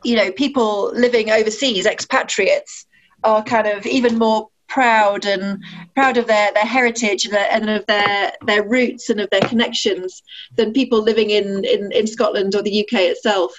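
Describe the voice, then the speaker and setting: A woman's voice: fairly even intonation, moderate pace. A woman, answering interview questions in a friendly, conversational way with many fillers and restarts. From Sheffield, England. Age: 40s. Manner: friendly, frequent fillers and restarts, laughs easily. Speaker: ambassador, interview guest. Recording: muffled, low-fidelity audio.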